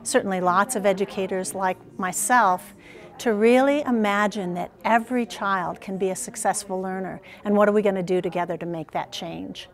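A woman's voice: medium pitch, steady tone, moderate pace. A woman educator speaking earnestly and persuasively in an interview. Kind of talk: interview statement. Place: TV studio.

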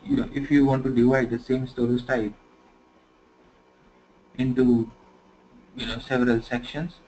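A man teaching software configuration in a screen-recorded tutorial, talking conversationally and filling pauses with "you know". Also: mid-range voice, flat delivery. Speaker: software trainer. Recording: slightly dull lo-fi screen recording with faint noise.

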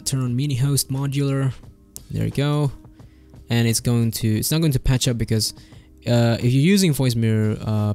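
A young man giving an informal, easygoing and enthusiastic software tutorial, speaking close into a desk microphone. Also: medium pitch, gravelly. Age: young adult. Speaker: tutorial host. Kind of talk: casual screen-recorded software tutorial. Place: home bedroom setup indoors. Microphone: close desk microphone on boom arm.

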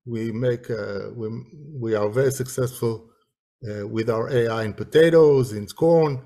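A man presents slides on a video call. His pitch is average and his pace is very slow. He speaks haltingly, feeling his way through each phrase. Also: mellow. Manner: halting, hesitant delivery.

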